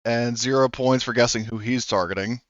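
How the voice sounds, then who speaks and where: A man acting as game master in a tabletop game, talking with frequent pauses and fillers. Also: medium pitch, steady tone, a bit bright, no echo, muffled recording. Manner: hesitant, lots of fillers and restarts.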